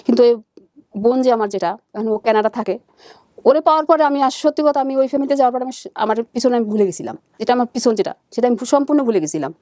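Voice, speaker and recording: Female guest, Bangladeshi accent, slightly high voice, very rapid but halting personal storytelling, dry close recording. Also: lively intonation, dark tone, no echo. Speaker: guest searching for birth family. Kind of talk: emotional personal recounting in conversation.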